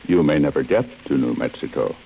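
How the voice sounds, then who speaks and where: An actor playing a politely threatening gang boss in a 1940s radio drama, measured and falsely courteous. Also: male voice, a bass voice, creaky, warm tone, lo-fi sound. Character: smooth, menacing male henchman boss, politely threatening. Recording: noisy vintage radio recording.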